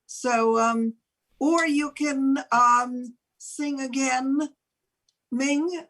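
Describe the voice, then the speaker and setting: A woman's voice: high voice, steady tone, drawn-out words, a bit bright, low-quality recording. An older woman speaking casually as the host of a talk show, interviewing a guest.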